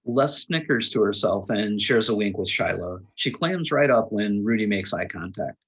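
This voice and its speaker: A man's voice: fairly low pitch, lively intonation, moderate pace, husky, poor audio. A man narrating the stage directions of a screenplay at a table read, in a scripted style.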